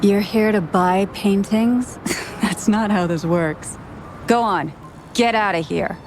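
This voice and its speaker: A woman's voice: mid-range voice, slow, background noise, lightly acted. A woman voicing a blunt, streetwise exile, talking in a brusque, offhand way. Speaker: game character. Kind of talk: game dialogue voice acting.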